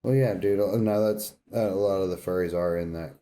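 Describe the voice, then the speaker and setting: A man's voice: deep voice, a little fast, warm tone. A man co-hosting a podcast, chatting casually about games in laid-back, slangy speech. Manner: laid-back slangy talk, says dude often. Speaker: podcast co-host.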